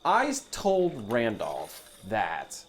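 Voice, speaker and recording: A man plays a tabletop role-playing game, describing his character's moves in casual talk. His slightly low voice moves around a lot in pitch. There is some faint noise in the room behind him. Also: wildly swooping pitch, drawn-out words, vocal fry.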